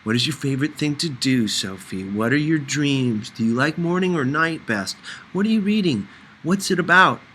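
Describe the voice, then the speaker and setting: A man voicing a playful, gentle and curious teenage boy in an audiobook, with a casual, teasing manner. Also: on the high side, lively intonation, moderate pace, a little hiss or hum.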